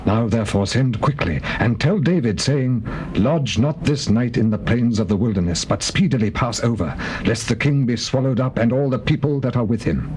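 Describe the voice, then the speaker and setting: An actor playing a shrewd royal counselor in dramatized scripture, oratorical and emphatic, on a hissy old cassette. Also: male voice, mid-range voice, lively intonation, normal speed, a little mellow, close to an everyday voice. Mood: urgent counsel.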